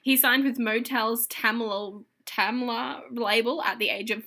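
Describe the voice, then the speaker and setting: Young woman podcaster, giggly, reads notes kinda haltingly, high pitched. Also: steady tone, pushed and bright. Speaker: podcast co-host. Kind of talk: casual chat podcast.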